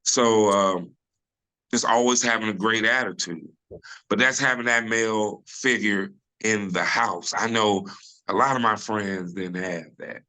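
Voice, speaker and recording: Deep-voiced comedian man, forceful. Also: unhurried, poor audio. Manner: relaxed storyteller, many restarts and "you know". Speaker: comedian guest. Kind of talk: casual interview chat. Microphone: video call.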